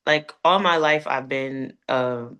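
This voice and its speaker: A woman's voice: rumbling low, takes it slowly, a bit bright, smooth. A woman in her thirties from Connecticut with an American accent, chatting casually and informally as an interview guest. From Connecticut, United States. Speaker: guest, gospel recording artist. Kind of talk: casual chat.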